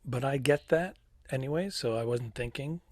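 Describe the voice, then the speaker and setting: A man co-hosting a comedy podcast from a cruise ship cabin, telling stories with deadpan punchlines in a slightly raspy voice. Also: medium pitch, moderate pace, no room sound, clean studio sound. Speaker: podcast co-host. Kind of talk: casual comedic chat.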